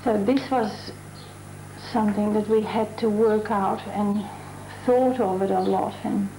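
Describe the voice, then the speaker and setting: Gravelly woman artist from the Netherlands. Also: medium pitch, fairly even intonation, mellow, slight background noise, rough recording. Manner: thoughtful, pausing to find words; chatty. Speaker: mural artist. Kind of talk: documentary interview.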